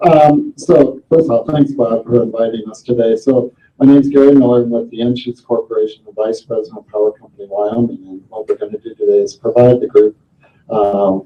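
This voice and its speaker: Soft-spoken low man presenting. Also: highs cut off. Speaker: company presenter. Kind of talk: formal presentation. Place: darkened conference room. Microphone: microphone.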